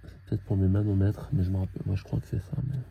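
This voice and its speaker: A man talking casually and haltingly through hands-on work, thinking aloud as he goes. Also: low voice, fairly even intonation, vocal fry, muffled recording, slight background noise. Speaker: car mechanic hobbyist filming his own repair. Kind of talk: casual hands-on tutorial narration.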